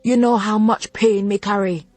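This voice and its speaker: A woman's voice: medium pitch, fairly even intonation, normal speed, a little mellow, dry recording, faint music behind, close to an everyday voice. A woman voicing a stern, proud old Jamaican grandmother who scolds in thick patois. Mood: furious. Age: older. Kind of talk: audiobook narration.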